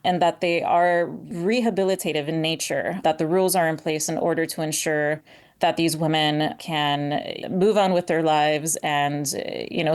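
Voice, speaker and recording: A woman reporter giving measured, explanatory answers in an interview. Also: deep voice, a little mellow, clean tone. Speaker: newspaper reporter.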